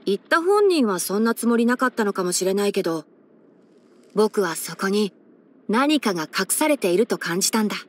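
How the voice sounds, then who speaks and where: A young fox-eared ranger boy from a video game, with a mid-pitched voice. He explains things like a sensible scholar and mentor. His delivery is composed and articulate, dryly wry yet caring. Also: sing-song, normal speed. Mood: reflective. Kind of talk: scripted video game character voice-over.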